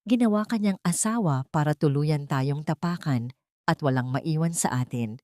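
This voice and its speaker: Audio drama stepmother played by a female narrator: guilt-stricken, broken, pleading, lowish voice. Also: normal speed, darkish tone, no echo, clean studio sound, natural voice. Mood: bitter, anguished. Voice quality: broken, sobbing voice. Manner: pleading, sorrowful.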